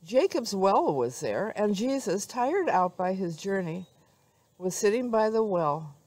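An older woman giving a devotional talk and scripture reading in a measured, reflective way. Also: medium pitch, big pitch swings, a little mellow, no echo, poor audio. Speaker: devotional speaker. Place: outdoors among trees.

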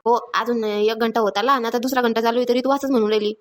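A woman plays a nagging cartoon village housewife with a high voice. She talks extremely fast, impatient and complaining. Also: fairly even intonation, natural voice. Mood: exasperated. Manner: complaining, colloquial. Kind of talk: Marathi comedy cartoon dialogue.

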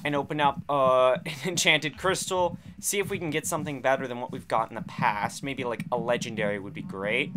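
A young man with a slightly raspy voice, chatty and upbeat, commentating his own gameplay on a headset mic in a bedroom. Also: mid-range voice, brisk, hardly any pauses, slight background noise. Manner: upbeat, chatty, drops to quiet muttering during fights. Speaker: gaming channel host.

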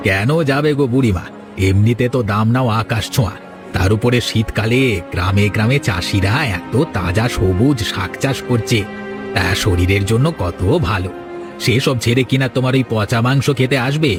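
A man's voice: fairly low pitch, moderate pace, music in the background, close to an everyday voice. A man voicing an oily, domineering village boss in a cartoon, patronising and self-important. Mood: dismissive. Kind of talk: cartoon character acting.

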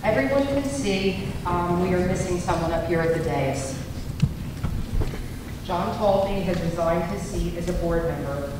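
A woman school board member reading a prepared award citation and statement aloud into a handheld mic at a public board meeting. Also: on the low side. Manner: measured, formal reading. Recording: echoey hall, distant mic, noisy.

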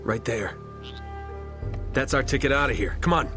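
A man's voice: mid-range voice, normal speed, natural voice. An actor playing a wry, sarcastic American treasure hunter in his thirties in a video game, speaking casually. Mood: weary, firm. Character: wry, weary male treasure-hunting adventurer in his thirties. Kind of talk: video game acting, naturalistic dialogue. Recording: loud game music behind.

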